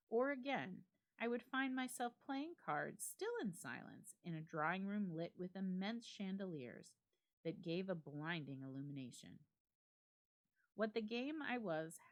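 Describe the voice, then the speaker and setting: Female reader for classic ghost story: slightly low register, highly animated melody, measured and expressive delivery. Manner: measured, expressive, deliberate phrasing with pauses. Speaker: library staff member reading a story aloud.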